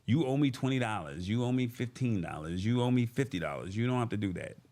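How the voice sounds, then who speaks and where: A man's voice: mid-range voice, lively intonation, fast talker, mellow. A man hosting a tech livestream, talking informally and folksily to his viewers in a casual, chatty way. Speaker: host of a tech livestream show. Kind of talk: casual livestream chat, reading comments and news.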